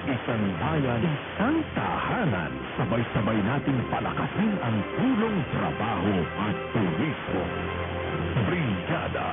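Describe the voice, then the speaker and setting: A man's voice: medium pitch, wildly swooping pitch, unhurried. A man with a Filipino accent announcing scripted radio commercials and station IDs. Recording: tinny narrow-band radio aircheck, loud music bed.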